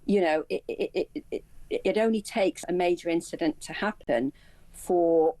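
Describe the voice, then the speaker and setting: Casting: female aviation commentator, low register, mellow tone, close dry sound, explanatory and slightly halting delivery. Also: no room sound, low-quality recording. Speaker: aviation and travel commentator, interview guest. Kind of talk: live news interview commentary.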